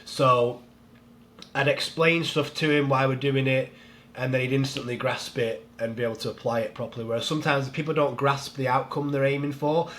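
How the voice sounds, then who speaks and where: A man's voice: medium pitch, moderate pace, slight background noise. Man with a head cold, nasal. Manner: relaxed, rambling, laughs easily. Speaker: strongman coach. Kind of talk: casual podcast chat.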